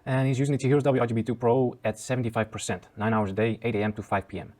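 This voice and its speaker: A man's voice: mid-range voice, level tone, rapid-fire, a little mellow. A man hosting a casual review show, easygoing and chuckling often as he trails off and restarts sentences. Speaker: aquascaping channel host.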